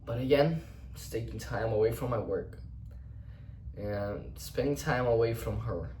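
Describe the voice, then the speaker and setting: Young guy, portuguese is his first language, rambling and thinking out loud, mid-pitched, faint hum behind. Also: distant mic. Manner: reflective, rambling, halting restarts, casual swearing. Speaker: vlogger. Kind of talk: unscripted personal monologue. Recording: roomy echo, voice set back from the mic.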